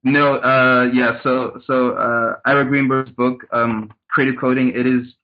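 A man from Cameroon talks through his background as an interview guest, measured and often repeating words while he thinks. His tone stays flat and even. He comes through a tinny, phone-like line. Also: medium pitch. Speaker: guest, startup consultant. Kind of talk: casual interview.

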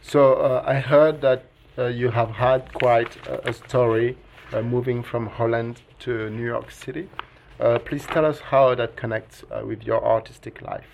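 A man's voice: medium pitch, fairly even intonation, a little slow, gravelly, slight background noise. A man who is a PhD student hosting a podcast interview, asking questions hesitantly with many fillers.

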